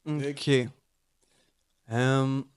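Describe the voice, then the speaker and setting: A man's voice: mid-range voice, extremely slow, clean tone, dry recording. A young man, a rapper guest talking casually and mixing with English slang. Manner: relaxed, mixes French and English slang. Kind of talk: casual interview chat.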